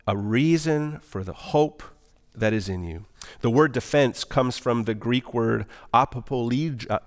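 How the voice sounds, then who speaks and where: A man's voice: mid-range voice, long reverb tail. A man preaching a sermon as pastor, earnest, putting questions to the congregation. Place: church auditorium.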